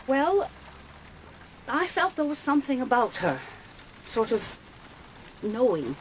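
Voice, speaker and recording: A woman's voice: sits high, sing-song, takes it slowly, a little hiss or hum, exaggerated voice, rough recording. An actress playing a thoughtful, assertive female police constable in a radio crime drama, earnestly searching for her words. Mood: hesitant, thoughtful. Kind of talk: naturalistic radio drama acting.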